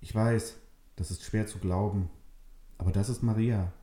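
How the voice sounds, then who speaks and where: A man's voice: on the low side, fairly even intonation, moderate pace, natural voice. A man reading a story, voicing a courteous, kind young man who is a little sheepish, in a roomy-sounding recording. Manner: courteous, gently evasive. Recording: roomy echo.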